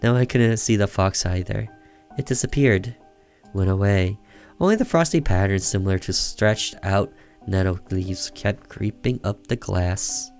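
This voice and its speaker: A man reading a story aloud as expressive narration for a game, occasionally stumbling over the words. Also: lowish voice, unhurried. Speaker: gaming streamer reading a visual novel aloud. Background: music under the voice.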